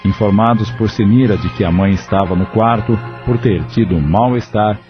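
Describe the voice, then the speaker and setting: Man, low voice, audio drama narrator, measured delivery, muffled sound. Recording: dull lo-fi audio with soft music.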